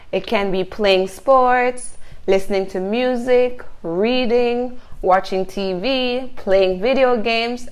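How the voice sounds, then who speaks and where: A woman from Jamaica, teaching an online English lesson and modeling each phrase slowly and carefully, checking in with "Good?". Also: on the high side.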